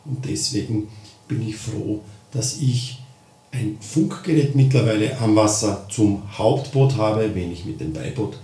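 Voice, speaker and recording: Echoey, mellow man explaining gear. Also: on the low side, measured pace. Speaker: host of a fishing channel. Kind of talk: casual product review and tutorial. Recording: roomy echo, distant microphone.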